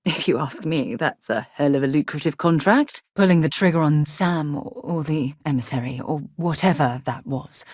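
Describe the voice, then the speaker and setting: A woman voicing a principled, curious space explorer in a video game, husky and articulate, with a composed, earnest delivery. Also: sits low, moderate pace, hardly any pauses, a little mellow, no echo, clean studio sound. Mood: reflective. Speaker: game voice actor. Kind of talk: video game companion dialogue.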